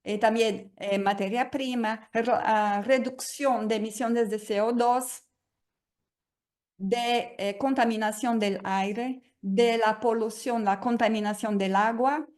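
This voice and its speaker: Woman, native Portuguese speaker, slow and hesitant webinar presenter on a video call. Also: fairly high pitch, steady tone, darkish tone. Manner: hesitant, many fillers and restarts. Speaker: guest speaker.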